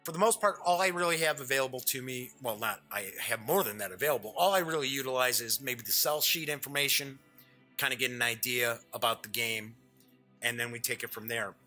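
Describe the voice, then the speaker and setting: A man's voice: medium pitch, a little fast, bright, forceful tone, soft background music. A middle-aged man hosting a casual live unboxing show, chatty and jokey, often wandering off on small asides. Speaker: show host.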